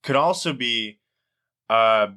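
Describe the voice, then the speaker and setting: Lively, bright-voiced man joking around. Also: mid-range voice, takes it slowly, clean tone. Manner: joking, casually profane. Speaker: podcast co-host. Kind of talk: casual comedic chat.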